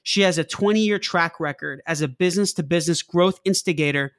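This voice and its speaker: A man's voice: a little high, fairly quick, a bit bright. A man hosting a business podcast, reading an introduction and then asking questions with many restarts and fillers. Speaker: podcast host. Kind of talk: podcast interview.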